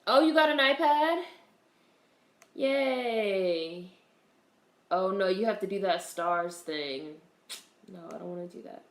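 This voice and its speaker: A woman hosting a casual livestream, greeting viewers in a relaxed, chatty way while congested with a cold. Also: mid-range voice, takes it slowly, pauses often, roomy sound. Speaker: livestream host.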